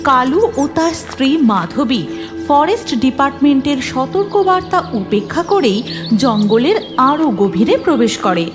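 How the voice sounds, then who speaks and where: A woman's voice: high voice, sing-song, moderate pace, music in the background. A woman narrating a scripted horror story for a cartoon in a dramatic storytelling style.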